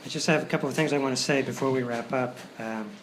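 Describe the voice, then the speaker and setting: A man's voice: medium pitch, fast talker, a bit bright. A man hosting a live show, giving an informal, grateful thank-you speech to the audience in a hesitant way. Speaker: writer, director and host of the show. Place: hotel performance space, live audience. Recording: noisy live room recording.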